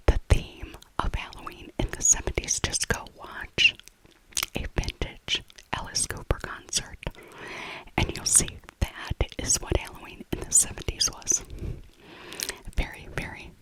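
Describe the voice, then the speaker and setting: A middle-aged woman whispering an ASMR shopping voiceover in a breathy, unvoiced way, rambling gently and repeating her phrases. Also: measured pace, pauses often, poor audio. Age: 50s or 60s. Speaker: ASMR video host.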